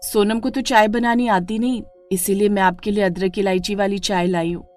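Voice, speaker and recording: A woman's voice: medium pitch, fairly even intonation, normal speed, a little mellow, soft background music, lightly acted. A woman voicing a sly, attentive young woman who plays the rival in a melodramatic story. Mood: sweet, smug. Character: caring, sly young woman friend Mukta, coolly stealing a husband. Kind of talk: melodramatic Hindi moral story acting.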